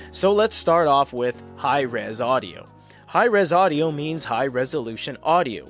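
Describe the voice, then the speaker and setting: A man narrating a casual tech review, explaining things in an informal, emphatic way. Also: a little high. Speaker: product reviewer. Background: background music.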